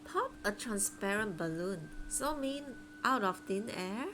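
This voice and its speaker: Unhurried woman streaming a game. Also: mid-range voice, lively intonation, background noise. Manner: reading names haltingly, informal. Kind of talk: casual live gameplay commentary.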